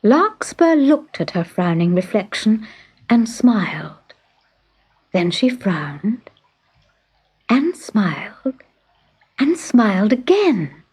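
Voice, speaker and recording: Female children's storyteller: slow, measured and expressive, highly varied intonation. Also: husky, poor audio. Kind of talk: scripted children's story narration.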